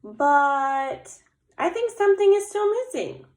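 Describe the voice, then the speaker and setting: A woman voicing a sweet, excited young female bear cub in a storybook, exclaiming and pondering aloud. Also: sits high, takes it slowly, echoey room, pitched above the performer's own voice, heavily acted.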